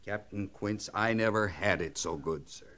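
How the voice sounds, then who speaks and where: An actor playing a grumbling, wisecracking veteran cavalry sergeant in an old-time radio western, with a folksy, sardonic manner. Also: male voice, on the low side, moderate pace, fairly bright tone, lightly acted.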